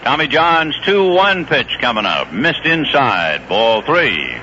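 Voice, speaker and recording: A man calling a baseball game play by play on the radio, chatting easily about the players between pitches. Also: slow pace, a little hiss or hum. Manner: relaxed, conversational storytelling between pitches. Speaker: play-by-play announcer. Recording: dull lo-fi 1970s radio broadcast.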